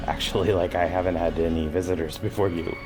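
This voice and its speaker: A man playing a hesitant, uneasy new homeowner in a fiction podcast, talking in a halting, casual way. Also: low voice, steady tone, over music, natural voice. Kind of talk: naturalistic fiction podcast acting.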